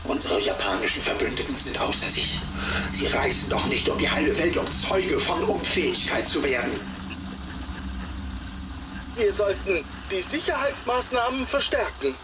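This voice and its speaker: Animated, stern German officer. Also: male voice, a little high, normal speed. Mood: stern, reproachful. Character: stern male German wartime officer, critical subordinate. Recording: echoing room, loud noise behind.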